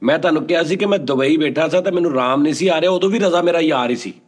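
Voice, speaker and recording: A man with a fairly high voice, talking in a relaxed storytelling way. He explains at length and animatedly, and his voice sometimes drops low for emphasis. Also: racing. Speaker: storyteller guest, addressed as ustad. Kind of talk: casual storytelling, reading out viewer messages.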